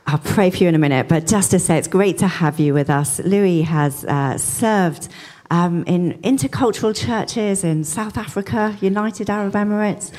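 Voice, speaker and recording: Low-voiced woman reading scripture and praying. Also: normal speed. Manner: gentle, earnest praying. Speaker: church leader introducing the speaker. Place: church.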